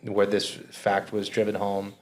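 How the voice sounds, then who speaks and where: Low-voiced man, earnest interview guest. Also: completely flat delivery, measured pace. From Minnesota, United States. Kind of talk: conversational interview.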